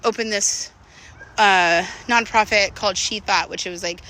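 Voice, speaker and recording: A woman's voice: mid-range voice, fairly even intonation, normal speed, sharp tone, a little hiss or hum, rough recording. A woman in her thirties talking in a candid, rambling, slangy way, as in a casual confessional walking vlog. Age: 30s. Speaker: vlogger.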